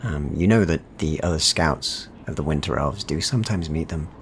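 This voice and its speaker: A man narrating a dark fantasy game as game master, in a dramatic, deliberate, suspenseful style addressed to the players. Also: extremely low pitch, slight background noise. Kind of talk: atmospheric second-person fantasy narration.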